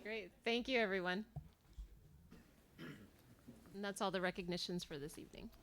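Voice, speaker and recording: A woman's voice: medium pitch, steady tone, fast talker, a bit bright. A woman presenting awards at a ceremony, making announcements and introductions.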